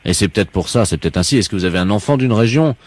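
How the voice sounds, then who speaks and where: A man playing an earnest caller who insists on absurd nonsense, mock-serious and deadpan, in a prank phone call. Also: lowish voice, racing, no room sound. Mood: solemn, explaining. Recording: noisy radio prank phone recording.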